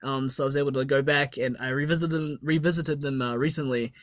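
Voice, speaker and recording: A man's voice: medium pitch, steady tone, fairly quick, no echo, clean studio sound. A young man from New Zealand with a New Zealand accent, hosting a casual interview and talking hesitantly with lots of fillers. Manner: hesitant, lots of fillers, laughs between phrases. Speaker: podcast host.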